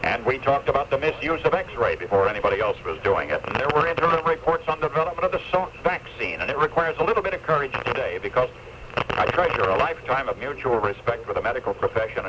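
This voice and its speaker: An older man giving an earnest, deliberate, folksy commentary as a radio newsman of the 1970s. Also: mid-range voice. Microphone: radio played through headphones into cassette recorder. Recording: noisy lo-fi 1976 AM radio recording.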